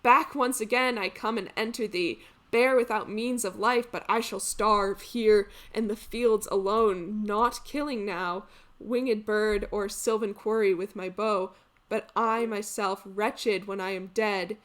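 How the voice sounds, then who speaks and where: A woman playing a betrayed, wretched male exile in a Greek tragedy monologue, declaiming archaic lines in drawn-out anguish. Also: mid-range voice, fairly even intonation, a bit bright, lightly acted. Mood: desperate, lamenting. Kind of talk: theatrical classical tragedy monologue.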